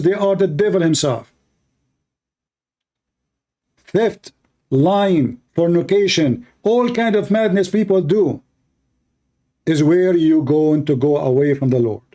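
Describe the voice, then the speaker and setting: A man preaching to his viewers with a dark, mellow voice pitched a little high. He declaims emphatically and repeats his points for force. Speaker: online religious debater.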